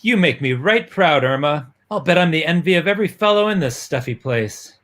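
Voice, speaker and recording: A man's voice: on the high side, lively intonation, brisk, fairly bright tone. Man playing an earnest young romantic boyfriend. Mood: admiring. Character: devoted young boyfriend, earnest and smooth-talking. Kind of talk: amateur dramatic reading of a 1950s romance comic.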